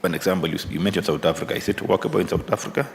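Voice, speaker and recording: A man questioning presenters at a parliamentary hearing, halting and probing, often restarting his sentences. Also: low voice, creaky. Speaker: committee member questioning presenters. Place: large hearing room. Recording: long hall reverb, faint room noise.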